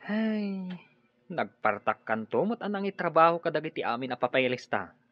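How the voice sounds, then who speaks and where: Animated, complaining young Ilocano man played by an actor. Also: a little high, normal speed, no room sound, muffled recording, natural voice. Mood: reluctant, whiny. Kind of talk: Ilocano radio drama acting.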